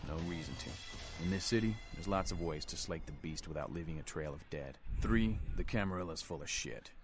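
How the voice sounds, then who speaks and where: Casting: male actor as tough American vampire anarchist, low-voiced, streetwise and contemptuous, game dialogue over prominent music. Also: brisk, natural voice. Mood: gruff, instructive. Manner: tough, folksy, blunt, contemptuous. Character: gruff veteran vampire anarchist rebel leader, defiant streetwise brawler. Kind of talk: video game dialogue acting. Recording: music behind the voice.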